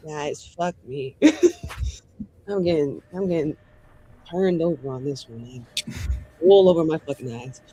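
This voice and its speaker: A man chatting casually as a tabletop game player, informal, expressive and prone to swearing. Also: a little high, slow pace, long pauses, breathy edge, rough recording. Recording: faint background noise.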